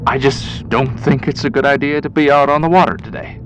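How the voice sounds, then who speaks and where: A man's voice: medium pitch, steady tone, fairly quick, background noise, voice pushed up, close to an everyday voice. A man voicing a nervous, withdrawn younger man in a horror radio story, hesitant and pleading in manner. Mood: uneasy.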